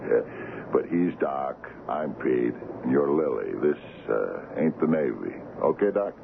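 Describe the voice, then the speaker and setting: An actor playing an easygoing, gruff sea captain of forty in a radio drama, folksy and prone to repeating himself. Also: male voice, rumbling low, animated, unhurried, exaggerated voice. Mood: confiding, insistent. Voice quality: gravelly. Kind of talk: 1970s radio drama acting. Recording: noisy, narrow-band old radio broadcast.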